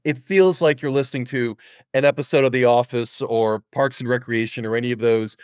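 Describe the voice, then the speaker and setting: A middle-aged man with a medium-pitched voice and a dry recording. He hosts a conversational interview, setting up questions in a measured, appreciative manner. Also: steady tone, smooth, no room sound. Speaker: podcast host, interviewer.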